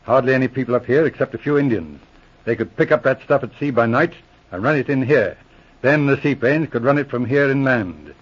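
An actor playing a calm, methodical senior police inspector in 1940s radio drama, explaining things with measured authority. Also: male voice, medium pitch, breathy edge. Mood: methodical, confiding. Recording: dull, muffled old radio recording.

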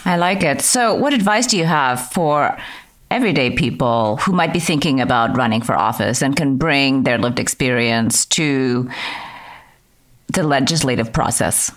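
A woman host with a very deep voice asks interview questions. She echoes and affirms her guest's points before moving on. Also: lively intonation, moderate pace. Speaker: podcast host.

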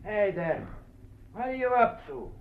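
An actor playing a folksy, suspicious garage attendant who talks colloquially in 1940s radio drama style. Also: male voice, high-pitched, animated, measured pace. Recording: old radio broadcast, muffled narrow sound.

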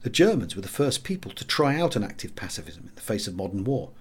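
Expressive English male audiobook reader. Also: lowish voice, quick pace, husky. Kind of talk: expressive memoir narration.

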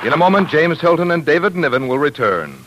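A man's voice: fairly high pitch, big pitch swings, fast talker, highs cut off, a loud crowd, very noisy. An American man working as a polished, salesmanlike announcer reading adverts on 1940s radio.

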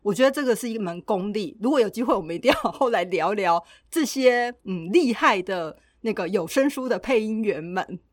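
A woman's voice: mid-range voice, lively intonation, brisk, few pauses, no room sound. Female co-host, Taiwanese accent, mid-range voice, casual reflective delivery, dry studio-close sound. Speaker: podcast co-host. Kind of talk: casual podcast chat.

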